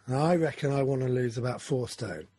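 A man's voice: medium pitch, brisk, warm tone, breathy edge, no room sound. A man, talking through his goals in a casual, rambling, self-correcting chat. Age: 40s.

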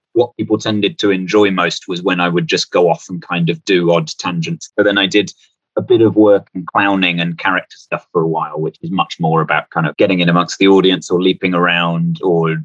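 Dull sounding dry recording of a deep voiced guy, comedian rambling casually, self-deprecating. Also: a bit bright, no echo. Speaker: comedian guest. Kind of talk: casual chat, anecdotal.

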